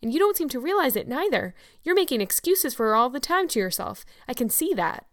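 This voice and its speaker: A woman doing a stern, brisk older farm woman. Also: medium pitch, a bit bright, dry recording, clean studio sound, close to an everyday voice. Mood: indignant. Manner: brisk, scolding, no-nonsense. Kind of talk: audiobook character reading.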